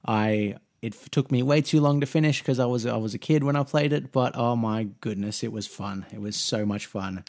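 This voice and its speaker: A man's voice: medium pitch, moderate pace, hardly any pauses, darkish tone. A man in his twenties with an Australian accent, chatting casually and rambling through a Q&A vlog for his gaming channel. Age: 20s. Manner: rambling, with frequent restarts and fillers; easygoing, chatty. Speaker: mobile gaming YouTuber.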